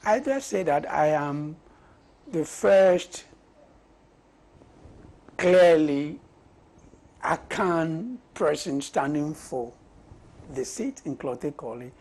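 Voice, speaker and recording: A Ghanaian man with a husky voice and Ga as his mother tongue, answering interview questions in deliberate short phrases. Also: on the high side, animated, darkish tone. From Osu, Accra, Ghana. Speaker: parliamentary candidate being interviewed. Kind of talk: conversational political interview.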